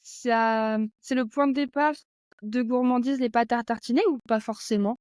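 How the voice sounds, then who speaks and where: A woman's voice: fairly high pitch, steady tone, normal speed, a little mellow, no room sound, clean studio sound. A woman hosting a podcast, asking questions in a friendly, upbeat way. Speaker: podcast host. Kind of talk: casual podcast interview. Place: near Paris.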